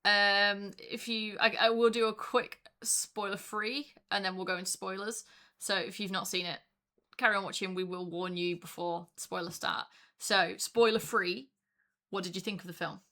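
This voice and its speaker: A woman co-hosting a casual podcast, chatty and quick to laugh, with lots of fillers. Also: mid-range voice, little pitch movement, sharp tone. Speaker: podcast co-host. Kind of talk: casual chat.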